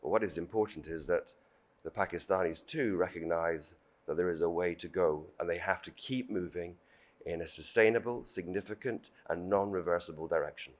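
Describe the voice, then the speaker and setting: Deep-voiced British man speaking formally. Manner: measured, diplomatic, emphatic repetition. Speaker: government official on a panel. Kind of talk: formal panel remarks.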